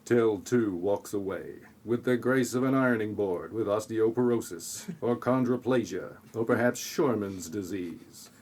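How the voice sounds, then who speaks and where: A man narrating a scripted audio play in a tongue-in-cheek, deadpan way with sarcastic asides. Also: lowish voice, a little slow, few pauses, noisy background.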